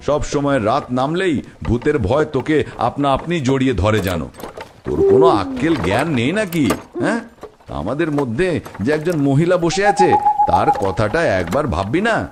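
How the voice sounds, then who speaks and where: A man playing a fearless middle-aged village bullock-cart driver in an animated ghost story, scolding and boasting. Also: mid-range voice, natural voice. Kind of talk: animated horror story voice acting. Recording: very noisy background with clicks.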